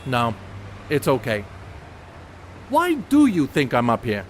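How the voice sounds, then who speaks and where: A man's voice: medium pitch, wildly swooping pitch, slow, a little hiss or hum. A man playing an educated, secretive ballplayer in a 1930s stage play, speaking in a precise, dry, condescending way. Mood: dry, guarded. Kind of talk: amateur stage play acting, 1930s period dialogue.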